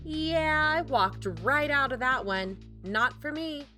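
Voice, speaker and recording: An actress plays a sociable aunt in a conversational audio drama. Her high voice moves in lively swings. She is chatty and a little flustered. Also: slow pace, soft background music, exaggerated voice. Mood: wry, chatty. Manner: animated, chatty. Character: sociable, flustered aunt covertly looking around.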